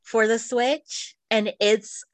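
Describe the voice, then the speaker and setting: A woman's voice: a little high, fairly even intonation, slow pace, no room sound. A middle-aged woman co-hosting a podcast, chatting casually about her hobbies in an informal way. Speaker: podcast co-host. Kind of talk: casual chat about video games.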